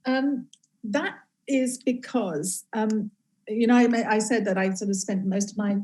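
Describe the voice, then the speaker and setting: A woman who grew up in East Africa, a game inventor talking as a guest in a casual interview, halting with many restarts and laughing often. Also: fairly high pitch, a little mellow.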